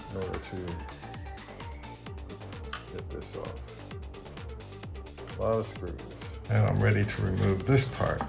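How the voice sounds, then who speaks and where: A man narrating a casual hands-on how-to, unhurried and thinking aloud as he works. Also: fairly low pitch, gravelly. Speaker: repair tutorial presenter.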